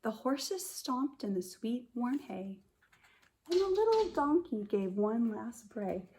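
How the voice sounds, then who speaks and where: A woman kindergarten teacher reading a picture book aloud to young children in a simple, expressive way, speaking to them directly. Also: fairly high pitch, takes it slowly, slight background noise, poor audio. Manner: addresses children directly with questions and prompts.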